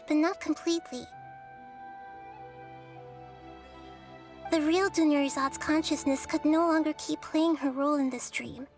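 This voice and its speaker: A woman voicing a gentle, wise, childlike young goddess in a video game, speaking softly in a measured, thoughtful, explanatory way. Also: high voice. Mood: grave, explanatory. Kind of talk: English video game dub acting.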